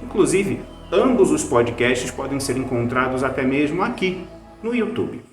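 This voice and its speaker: A man's voice: mid-range voice, very animated, moderate pace, reverberant, music in the background. A Brazilian man hosting a recommendation video in a conversational, scripted review style. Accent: Brazilian Portuguese. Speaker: video host recommending podcasts.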